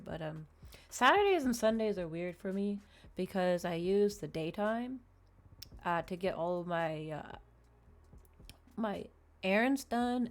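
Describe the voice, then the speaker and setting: A woman hosting a livestream, chatting casually and informally straight to her viewers. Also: medium pitch. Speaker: livestream host, VTuber.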